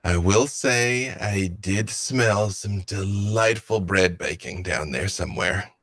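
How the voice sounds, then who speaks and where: A man's voice: on the low side, takes it slowly, a bit bright, dry recording. A man voicing an anxious, winged woman warrior in armor during a tabletop game, talking hesitantly and trailing off. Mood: wry. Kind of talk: tabletop roleplay in character.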